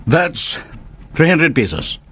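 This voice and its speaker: An actor playing an arrogant, strict and hot-tempered store owner in a Filipino radio drama, with a Filipino accent and a haughty, confrontational manner. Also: male voice, fairly high pitch, very animated, slow pace, fairly bright tone, husky, heavily acted. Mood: haughty, impatient.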